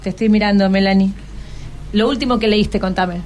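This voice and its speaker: A woman's voice: medium pitch, fairly even intonation, a little fast, darkish tone, slight background noise. A woman with a Buenos Aires accent hosting a conversational radio interview, prompting with short follow-up questions. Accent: Argentine, Buenos Aires. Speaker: radio host interviewing schoolchildren.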